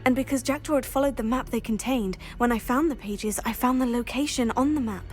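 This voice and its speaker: A woman's voice: a little high, fairly quick, soft background music, lightly acted. An actress playing an eager young female wizard student in a video game, talking in an eager, chatty way. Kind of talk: video game cutscene acting.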